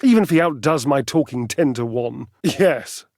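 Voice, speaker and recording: A man's voice: medium pitch, expressive, moderate pace, darkish tone, no room sound, studio quality. Pompous, swooping theatrical male dandy. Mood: wistful, petulant. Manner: pompous, flamboyant, wordy, condescending. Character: vain, snobbish, athletic household appliance, theatrical and petulant. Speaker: video game character actor. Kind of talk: theatrical dating-game character acting.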